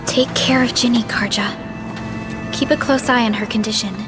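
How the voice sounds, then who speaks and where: Composed, higher-pitched woman team leader, acted. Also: fairly even intonation, loud music behind the voice, natural voice. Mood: kind, caring. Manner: measured, polite, authoritative. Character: composed, caring young woman team supervisor. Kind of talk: video game cutscene acting.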